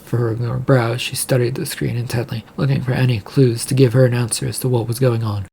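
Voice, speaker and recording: A man's voice: medium pitch, level tone, brisk, gravelly, dark tone, slight background noise. A man reading out scripted story narration, now and then stumbling over a word and repeating it.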